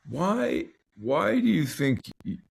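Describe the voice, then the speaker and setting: A man's voice: a little high, huge pitch swings, takes it slowly, gravelly, a little hiss or hum. A man hosting a family interview, asking curious questions and often restarting them. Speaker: podcast host, interviewer. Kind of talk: conversational interview.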